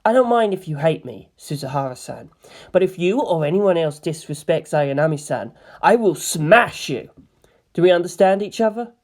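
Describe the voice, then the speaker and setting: A woman voicing a quiet, intense, menacing teenage boy pilot in a fan fiction reading. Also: lowish voice, lively intonation, moderate pace. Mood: quietly threatening.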